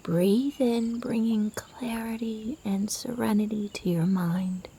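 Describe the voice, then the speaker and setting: A woman guiding a sleep meditation in a soft, breathy, half-whispered voice, soothing and gentle in her delivery. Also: on the high side, steady tone, slow pace, slight background noise. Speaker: meditation guide. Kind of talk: guided sleep meditation narration.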